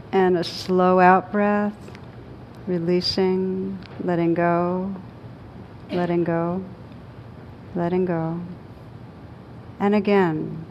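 Calm low-voiced woman guiding meditation, muffled recording. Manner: slow, calm, soothing delivery with long pauses. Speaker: meditation teacher. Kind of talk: guided meditation. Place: live group session in a room. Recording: dull lo-fi sound with rumbling noise.

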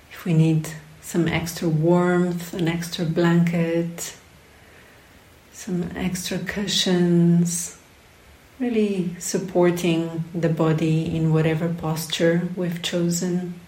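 A woman leading a guided meditation as a teacher, speaking in short, unhurried phrases with long pauses between them. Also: lowish voice, warm tone. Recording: roomy, echoing sound.

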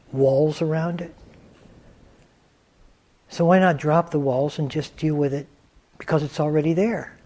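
A man teaching a guided meditation in a calm, gentle manner, leaving long pauses between phrases. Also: a little high. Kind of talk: guided meditation, unscripted instruction.

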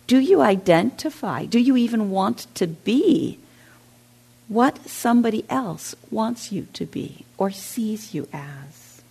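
Gravelly-voiced woman meditation teacher. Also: medium pitch, animated, takes it slowly, dark tone. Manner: reflective, asks the audience questions. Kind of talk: dharma talk. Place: meditation center hall.

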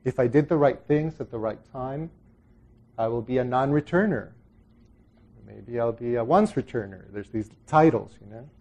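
A man's voice: mid-range voice, animated, moderate pace, a little mellow. A middle-aged man from El Salvador giving an informal dharma talk to a group, conversational and reflective, often trailing off into asides. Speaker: Buddhist meditation teacher.

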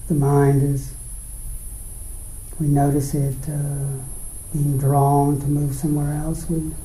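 Slow, very mellow male meditation teacher. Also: fairly high pitch, completely flat delivery. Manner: unhurried, pausing between short phrases. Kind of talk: guided meditation, dharma talk. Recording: roomy, echoing space.

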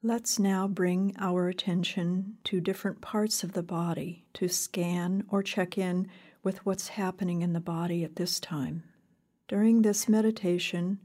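Slow gentle lady doing a guided meditation, soft husky breathy voice, lots of space between phrases. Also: lowish voice. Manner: calm, gentle, unhurried with long pauses. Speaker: meditation teacher. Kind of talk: guided body scan meditation.

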